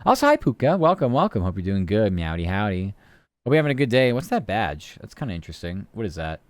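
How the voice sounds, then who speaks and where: A man with a low, smooth voice chats casually on a live stream. He rambles between topics, answers his chat and swears now and then. The sound is dry and close. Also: very animated, fast, no echo.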